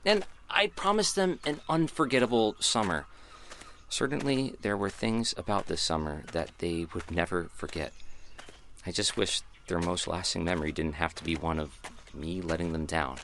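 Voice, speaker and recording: An actor playing a regretful, reflective theatre troupe leader, confiding in first-person audio drama narration. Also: male voice, low voice, normal speed, fairly bright tone, slight background noise. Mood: wistful regret.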